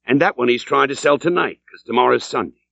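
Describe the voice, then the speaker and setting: A man reading aloud and voicing a sly, teasing old innkeeper with a rough seafaring dialect drawl. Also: medium pitch, fast talker, fairly bright tone, no echo, lo-fi sound, pitched above the performer's own voice.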